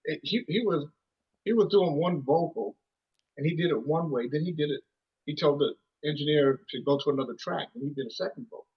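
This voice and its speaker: Husky-voiced man telling music stories. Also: on the high side, a little fast, lo-fi sound. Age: 30s. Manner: rambling, lots of restarts and "you know". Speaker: musician guest. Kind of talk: casual storytelling interview.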